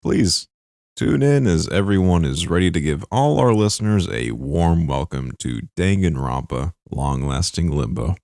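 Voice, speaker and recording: Deep-voiced man, creaky and lively. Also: a little slow, dry recording, studio quality. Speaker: voice actor. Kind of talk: scripted promotional introduction.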